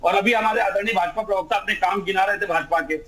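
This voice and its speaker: A man's voice: high-pitched, fast, fairly bright tone. A man arguing as a political panelist in a TV debate, emphatic and fond of rhetorical questions, with some noise on the recording. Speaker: political panelist, party spokesperson. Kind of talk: heated political debate argument. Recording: clear background noise.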